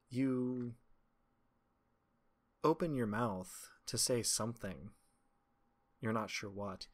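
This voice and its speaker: A man narrating as game master and hosting a tabletop role-playing podcast. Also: fairly low pitch.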